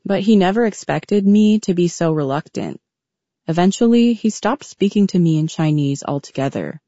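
Low, mellow young woman narrating. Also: animated, no room sound. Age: 20s. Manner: reflective, measured. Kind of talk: scripted personal narration. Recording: dull, muffled audio.

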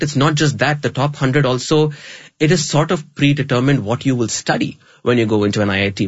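Fields: Sex: man. Pitch: low. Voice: husky. Style: interview guest. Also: no echo, highs cut off. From South India, Chennai. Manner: articulate, fast-paced, with frequent "um" and restarts. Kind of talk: conversational interview.

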